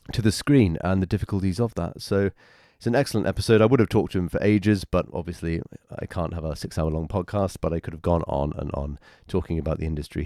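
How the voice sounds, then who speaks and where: A man in his thirties, hoarse with a cold, his voice raspy and very deep. He introduces his podcast in a chatty, upbeat way. The sound is dry and close. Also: darkish tone, no room sound. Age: 30s. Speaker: podcast host.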